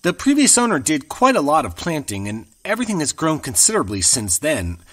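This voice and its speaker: A nervous young traveling agent in an audio drama, hesitant and prone to stammering. His voice sits a bit high and moves a lot in pitch. It sounds distant from the mic, with loud music playing over it. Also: huge pitch swings, fairly quick. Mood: polite.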